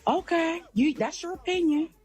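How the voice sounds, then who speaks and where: A woman's voice: extremely high pitch, normal speed, darkish tone, soft background music. A woman talking casually in an argument.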